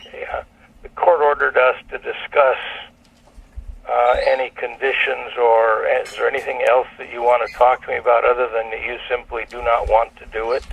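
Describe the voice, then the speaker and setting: A man speaking as an attorney on a telephone conference call, formal and measured as he puts procedural questions. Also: medium pitch, flat delivery, creaky, breathy edge. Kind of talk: formal legal phone discussion. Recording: muffled, dull telephone line.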